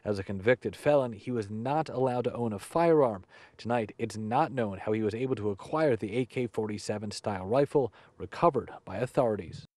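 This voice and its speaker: A young man narrating a TV news story in a measured, clear reading voice. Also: on the low side, darkish tone. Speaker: news reporter.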